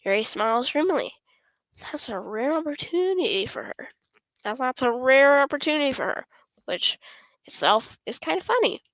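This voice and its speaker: A woman streamer reading a visual novel aloud with casual asides, halting and stumbling over the lines as she goes. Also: sits high, sing-song, fairly bright tone, no room sound. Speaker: gaming streamer.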